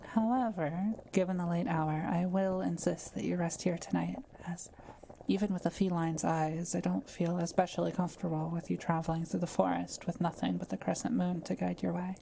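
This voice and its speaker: A woman playing a kind, soft-spoken forest witch in an intimate audio roleplay, talking to the listener in gentle, formal, old-fashioned wording. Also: fairly low pitch, little pitch movement, moderate pace, creaky, a little hiss or hum, low-quality recording. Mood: gentle, earnest.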